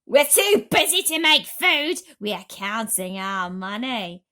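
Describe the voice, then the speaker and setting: A woman voicing a greedy, cruel storybook aunt who yells bossily and snaps. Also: fairly high pitch, big pitch swings, takes it slowly, full character voice. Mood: angry scolding. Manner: yelling, snappish, bullying. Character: shrieking greedy bossy cruel aunts, middle-aged women.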